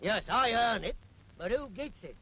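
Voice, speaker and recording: A man's voice: sits high, lively intonation, normal speed, sharp tone, husky, exaggerated voice, poor audio. An actor playing a sceptical, blunt working man who grumbles sardonically in a 1940s public information film. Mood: grumbling.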